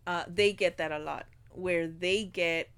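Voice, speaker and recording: A woman's voice: lowish voice, fairly even intonation, measured pace, a bit bright, clear, resonant tone. A woman with a border-town Mexican-American accent, co-hosting a podcast in casual group chat and laughing often. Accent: US border Mexican-American English. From US-Mexico border region. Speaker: podcast co-host.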